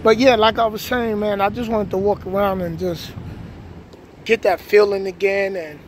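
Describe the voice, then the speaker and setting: A man's voice: high voice, steady tone, moderate pace. Laid-back New York man walking at night. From New York City. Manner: laid-back, reflective, slangy street talk. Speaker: vlogger. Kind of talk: casual walking vlog. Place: outdoors at night on city streets and housing project grounds. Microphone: phone held at arm's length. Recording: outdoor street noise with passing traffic.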